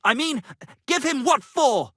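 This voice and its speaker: An actor voicing a vain, florid wandering poet-musician, theatrical and grandiloquent, in a medieval video game. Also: male voice, very high voice, lively intonation, measured pace, pushed and bright, no echo, clean studio sound, exaggerated voice. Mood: dramatic lament. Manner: flowery, grandiloquent, melodramatic.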